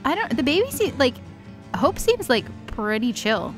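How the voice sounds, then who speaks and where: A woman's voice: high-pitched, big pitch swings, moderate pace, soft background music. A woman in casual comedic chat, joking and slangy, peppering her sentences with "like". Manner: joking, slangy, uses like constantly. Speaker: co-host reacting to a story recap.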